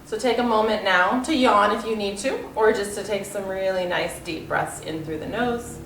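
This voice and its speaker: A woman teaches yoga with calm, drawn-out guidance, her voice slightly nasal from congestion. She is picked up from a distance in an echoey room with a faint ambient hiss underneath. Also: medium pitch. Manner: calm, unhurried instruction with soft trailing phrases. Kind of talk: guided yoga class. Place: room with yoga mat, indoors. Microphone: far camera.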